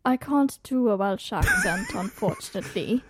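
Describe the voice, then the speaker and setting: A woman's voice: high voice, wildly swooping pitch, normal speed, very noisy. A young woman co-hosting a casual chat show, playful and teasing. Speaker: co-host.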